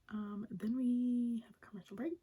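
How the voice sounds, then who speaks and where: Brief: young woman, soft ASMR whisper, very breathy, mellow tone, chatty and eager. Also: a little high. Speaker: wrestling recap host. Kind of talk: ASMR whispered show recap.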